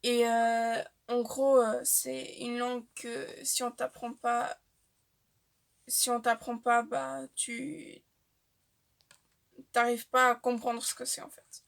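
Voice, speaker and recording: A young woman, chatting casually to the camera about herself with frequent filler pauses. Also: on the high side, level tone, creaky. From Portuguese-speaking family, raised in Switzerland. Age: young adult. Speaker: vlogger. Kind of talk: casual facts-about-me vlog.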